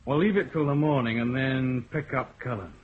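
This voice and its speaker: An actor playing a dry, understated police chief inspector in a radio drama. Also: male voice, medium pitch, moderate pace, breathy edge, lightly acted. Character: weary, dry-humoured police detective chief inspector, man. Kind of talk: 1970s radio drama acting. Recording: dull lo-fi radio play recording.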